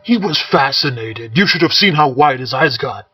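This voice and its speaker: A man voicing a big, jovial, boisterous male warrior in a storybook reading, with a husky voice. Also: medium pitch, expressive, normal speed, bright, forceful tone, heavily acted.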